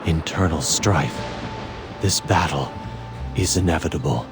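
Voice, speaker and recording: An actor playing a grave, commanding battle leader in a video game, with a solemn, authoritative delivery. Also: male voice, a bass voice, measured pace, music in the background. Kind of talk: dramatic video game voice acting.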